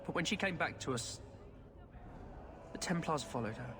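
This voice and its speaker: High-pitched defiant orphan boy, acted by a man. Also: expressive, normal speed, background noise, natural voice. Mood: defiant, pleading. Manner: earnest, defensive. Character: defiant young orphan boy protecting his family. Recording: long reverb, clear background ambience.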